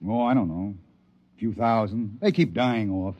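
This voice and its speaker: An actor playing a gruff, bigoted frontier father on a Mars settlement who talks in a folksy drawl in a 1950s radio drama. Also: on the low side, moderate pace, lo-fi sound, lightly acted.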